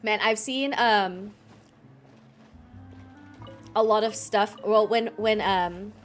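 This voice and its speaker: A woman's voice: mid-range voice, steady tone, takes it slowly, soft background music. A woman game streamer giving casual, playful live commentary and reading bits out.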